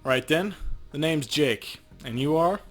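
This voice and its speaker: A man's voice: fairly high pitch, expressive, a little slow, faint music behind. A man voicing a laid-back, cocky male street shaman from a cyberpunk game in a wry, casual way. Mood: easygoing.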